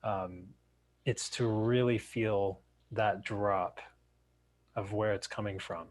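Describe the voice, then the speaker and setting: A man with a slightly raspy voice, teaching in a halting, reflective way. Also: fairly low pitch, steady tone. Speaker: session co-host, meditation teacher.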